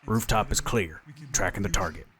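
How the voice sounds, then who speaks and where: A boastful young soldier played by a man trades banter in a sci-fi audio drama. He is cocky and casual, with a fairly low voice and a habit of griping. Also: normal speed, heavy background noise, close to an everyday voice. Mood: businesslike.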